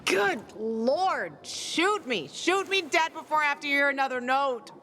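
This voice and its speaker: A woman's voice: high voice, expressive, unhurried, pushed and bright, slight background noise, exaggerated voice. An actress playing a hard-bitten, sardonic young woman in a video game, blunt and guarded. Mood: exasperated. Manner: blunt, dry, profane. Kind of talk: video game character acting.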